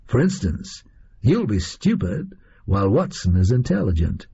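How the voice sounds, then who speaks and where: Brash schemer, performed by a male reader, breezy storybook villain, gravelly, slightly muffled recording. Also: lowish voice, sing-song, unhurried, warm tone, full character voice. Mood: gleeful boasting. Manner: breezy, slangy, chatty. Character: brash American man from fiction, gleeful cocky schemer.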